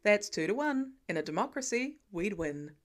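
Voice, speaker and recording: A woman's voice: medium pitch, sing-song, moderate pace, close to an everyday voice. A woman voicing a protective, bantering husband and father in a story, dry, insistent and teasing. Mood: fussing.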